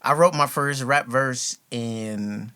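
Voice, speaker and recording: A young man in a podcast studio tells his own story, loose and unhurried. His voice sits at an ordinary middle pitch. He laughs easily and drops curse words without a second thought. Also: pushed and bright, clean tone. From New York, with Ghanaian parents. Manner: laid-back, laughs often, swears freely. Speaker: guest, rapper. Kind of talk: casual storytelling interview.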